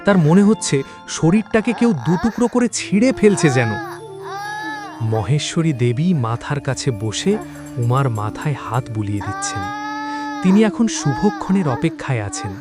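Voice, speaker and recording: A man's voice: fairly high pitch, expressive, moderate pace. A man narrating a scripted horror story in a dramatic style. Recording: loud background music. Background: prominent dramatic music.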